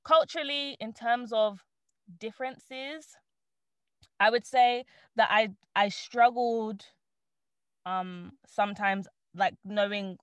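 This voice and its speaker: A young woman, born in Trinidad and raised in the UK, chatting casually on a podcast panel, animated and quick to laugh. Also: on the high side, clean tone, no echo. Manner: chatty, animated, laughs often, many fillers.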